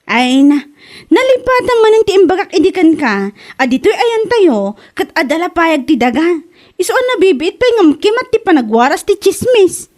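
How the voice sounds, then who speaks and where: A woman's voice: very high voice, sing-song, moderate pace. A woman playing a nosy, teasing neighbour in a comedy audio drama, chatty and full of gossip. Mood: gossipy. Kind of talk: Ilocano comedy radio drama acting.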